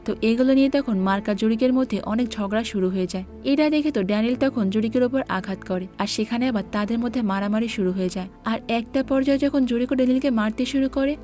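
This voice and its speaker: A woman with a fairly high voice delivers scripted recap narration, moving through a drama's story and relaying dialogue as she goes. Music plays underneath her voice. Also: quick pace, few pauses, mellow. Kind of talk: scripted story recap narration, reading characters' lines in reported speech.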